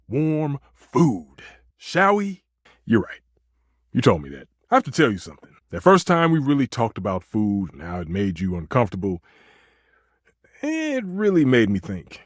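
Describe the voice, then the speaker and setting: A man's voice: mid-range voice, no room sound, clean studio sound. A man voicing a passionate, romantic stove who is a chef in a video game, with a theatrical, grandiose, exclamatory delivery. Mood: earnest, tender. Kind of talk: video game character acting.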